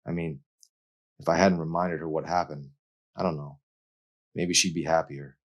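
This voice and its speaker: A man's voice: very deep voice, fairly even intonation, normal speed, muffled recording. A man voicing a guilt-ridden, earnest, defensive teenage boy in an audiobook. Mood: heavy, confessional.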